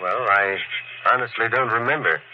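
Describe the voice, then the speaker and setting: An actor playing a stern, rational doctor in a 1940s radio thriller, speaking with impatient authority. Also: male voice, lowish voice, moderate pace, lightly acted. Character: stern, rational male doctor taking charge. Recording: noisy, muffled 1940s radio recording.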